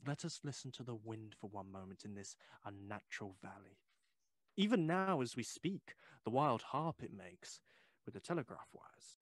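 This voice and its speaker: An actor playing a sceptical, rational Victorian gentleman in an audio drama, speaking in a formal, measured and insistent way. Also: lowish voice, expressive, natural voice. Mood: measured, persuasive. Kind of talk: period audio drama acting.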